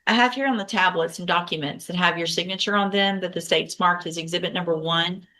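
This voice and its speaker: A woman serving as judge in a courtroom, speaking in a measured, formal, matter-of-fact way. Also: on the low side, bright, forceful tone. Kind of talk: courtroom proceedings.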